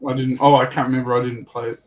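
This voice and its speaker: A man's voice: mid-range voice, level tone, racing, a little mellow, a little hiss or hum, rough recording. A man explaining game rules as a panelist in a casual hobby chat.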